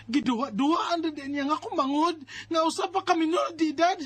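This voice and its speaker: A woman's voice: sits high, brisk, natural voice. A woman playing a worried, protective older sister with a Filipino accent in a radio drama, emphatic and exasperated. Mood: outraged.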